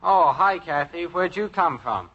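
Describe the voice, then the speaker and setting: A man's voice: a little high, animated, measured pace. Grumbling teenage boy. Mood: dismissive. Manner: complaining, blunt. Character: grumbling, reluctant teenage boy, younger brother. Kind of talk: 1950s radio sitcom acting. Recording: dull, very noisy old radio recording.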